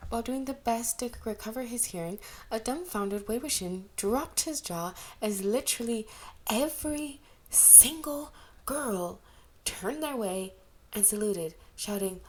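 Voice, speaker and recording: A woman's voice: on the high side, measured pace, darkish tone. Woman reading a story aloud. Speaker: amateur audiobook reader of fan fiction. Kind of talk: scripted story narration with character voices.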